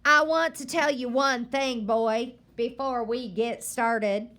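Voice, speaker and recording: A woman's voice: a little high, fairly even intonation, takes it slowly, a bit bright, voice pushed up. A woman doing a stern old frontier grandma. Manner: blunt rural folksy speech. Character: stern old pioneer grandmother, distrustful and stubborn.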